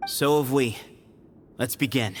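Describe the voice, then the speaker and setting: An actor playing a confident, determined young warrior in a video game, speaking in an assured, expressive manner. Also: male voice, medium pitch, a little slow, noisy background, close to an everyday voice. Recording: faint game music behind.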